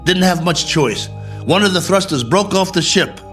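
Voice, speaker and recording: An actor playing a stranded, injured astronaut colonel in a radio drama, weary and stubborn, with terse, sardonic delivery. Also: male voice, high voice, lively intonation, moderate pace, a bit bright. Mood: wry, matter-of-fact. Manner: terse, sardonic, clipped reporting. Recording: radio drama with music underneath.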